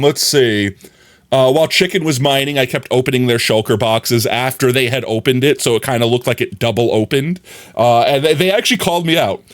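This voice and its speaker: A young man streaming live, chatting casually to his viewers with a slightly nasal voice, rambling and often giggling. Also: mid-range voice.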